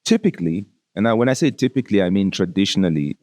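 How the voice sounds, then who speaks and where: Low, mellow-voiced man hosting a podcast. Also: animated, fast talker. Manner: uses slangy, familiar address like "bro". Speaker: podcast host interviewing a musician. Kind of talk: casual conversational interview.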